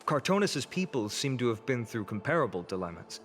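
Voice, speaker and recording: An actor playing a polite, thoughtful adviser in a video game, courteous and considerate. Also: male voice, mid-range voice, big pitch swings, a little fast, fairly bright tone, faint music behind.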